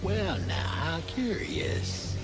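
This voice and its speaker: An actor voicing a menacing, curious otherworldly spirit with an ominous, formal manner in a video game. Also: male voice, sits high, wildly swooping pitch, extremely slow, loud music behind the voice, exaggerated voice.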